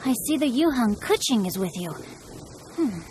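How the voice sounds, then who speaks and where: A woman voicing a courteous, bookish young gentleman scholar in a video game, with flowery, self-assured speech. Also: fairly high pitch, big pitch swings, moderate pace, slight background noise.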